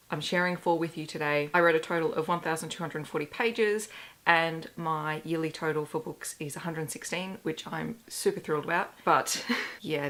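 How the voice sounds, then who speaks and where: A woman in her thirties with a hoarse, worn-out voice, chatting casually and candidly as a book vlogger doing a weekly reading wrap-up. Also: low-pitched, fairly bright tone. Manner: chatty and candid, with self-deprecating asides.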